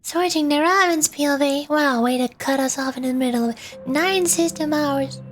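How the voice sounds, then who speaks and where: A woman streamer reading a game's story aloud in a dramatic, deliberate way. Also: sits high, soft background music.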